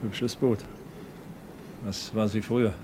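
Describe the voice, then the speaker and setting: A man's voice: lowish voice, steady tone, takes it slowly. An actor playing an awkward, careworn male charter passenger in a radio drama, speaking in short, polite phrases. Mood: politely appreciative. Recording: clear background ambience noise.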